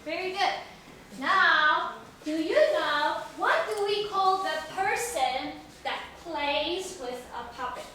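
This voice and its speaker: Woman, teacher, high-pitched, slow deliberate pace, simple questions, encouraging, distant mic. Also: sharp tone. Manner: simple instructional phrasing with questions and repetition; encouraging, praising answers. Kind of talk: English lesson to a young class. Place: echoey classroom, mic at a distance. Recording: very echoey room, distant mic, faint noise.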